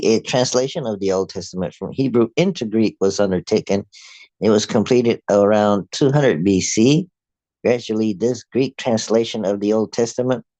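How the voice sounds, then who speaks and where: Low slow afrikaans-accented guy reading his notes out, dark mellow voice, dry close sound. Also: no room sound, rough recording. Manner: measured, halting reading with restarts. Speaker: video host. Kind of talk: reading a prepared commentary aloud.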